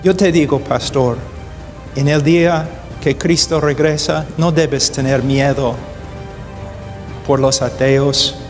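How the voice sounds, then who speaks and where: Slow, halting man preaching in a hall. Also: fairly high pitch, steady tone. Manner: deliberate, halting, searches for words; grows urgent and emphatic. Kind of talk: sermon. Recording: long hall reverb, faint music.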